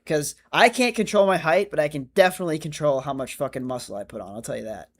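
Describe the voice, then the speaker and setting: A man's voice: fairly high pitch, fast. A man of twenty-five chatting casually on a livestream, answering viewer comments in a rambling, self-correcting way. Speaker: streamer.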